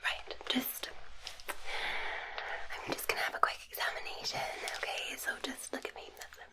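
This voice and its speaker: Whispering Scottish woman, soft ASMR roleplay. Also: moderate pace, rough recording. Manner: gentle, reassuring. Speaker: ASMR roleplayer playing a nurse and doctor. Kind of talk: ASMR medical exam roleplay.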